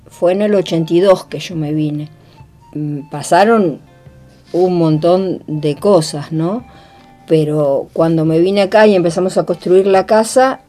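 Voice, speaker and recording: Casting: older Argentine female interviewee, dark relaxed voice on the lower side, thoughtful, hesitant recollection. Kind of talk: reminiscing interview talk.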